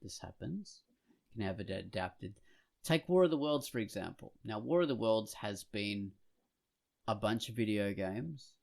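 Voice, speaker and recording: Low-pitched man chatting casually. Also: lively intonation, a little slow, creaky, darkish tone. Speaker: podcast host and comic artist. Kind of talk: casual podcast chat.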